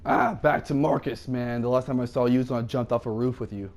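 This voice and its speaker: A man's voice: mid-range voice, fairly even intonation, fairly quick, darkish tone. A congested young man giving casual, rambling commentary on a video game. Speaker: let's play commentator.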